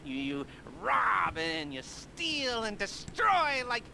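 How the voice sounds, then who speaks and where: High-pitched, neurotic criminal mastermind. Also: male voice, huge pitch swings, gravelly, sharp tone, slight background noise, exaggerated voice. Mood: ecstatic, giddy. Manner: halting, rambling, sardonic, with nervous giggles. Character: nerdy, neurotic, excitable criminal heist mastermind with a cane. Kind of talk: video game cutscene and mission dialogue acting.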